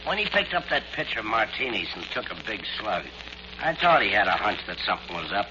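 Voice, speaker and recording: A casual killer sidekick, kinda sorry, voice swings up and down, noisy muffled old radio show. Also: male voice, on the low side, big pitch swings, fairly quick. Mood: offhand, regretful. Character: casual male killer henchman, mildly regretful. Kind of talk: 1940s radio horror drama acting.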